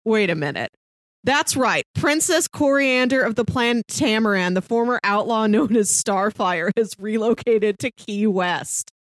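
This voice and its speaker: A woman's voice: fairly high pitch, expressive, unhurried, no echo. A woman co-hosting a podcast, talking casually and reading blurbs aloud. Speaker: podcast co-host.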